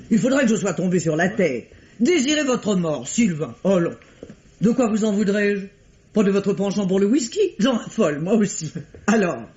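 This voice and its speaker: An actress playing an indignant, wry theatre director who defends herself volubly in a vintage French radio mystery. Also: female voice, mid-range voice, a little mellow, a little hiss or hum, natural voice. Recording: dull vintage radio recording.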